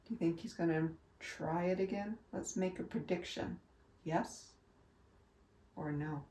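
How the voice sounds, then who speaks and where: A woman reading a children's storybook aloud like a teacher, with expressive, emphatic and instructive delivery. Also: fairly low pitch, unhurried. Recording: echoey room, distant from mic.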